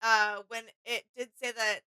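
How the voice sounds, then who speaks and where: Bright young woman. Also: a little high, level tone, a little slow, clean tone. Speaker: reaction video co-host. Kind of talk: casual reaction chat.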